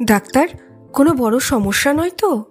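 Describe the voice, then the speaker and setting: Casting: actress as anxious, fussing mother at a clinic, high female voice, questioning, audio drama. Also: expressive, moderate pace, breathy edge, soft background music. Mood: worried. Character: worried, fussing mother of a teenage girl patient. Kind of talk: audio story dramatic acting.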